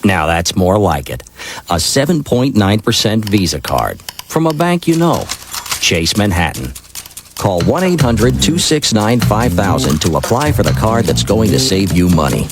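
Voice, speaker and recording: A man's voice: lowish voice, very animated, unhurried, background noise. A man announcing a persuasive scripted commercial. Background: loud music.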